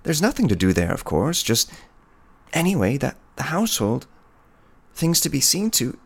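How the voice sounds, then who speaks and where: A man voicing a shy, tender peasant woman of about thirty in a storybook, soft-spoken, wistful and pleading. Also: medium pitch, huge pitch swings, normal speed, slightly rough, pitched above the performer's own voice, exaggerated voice. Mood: eager, coaxing.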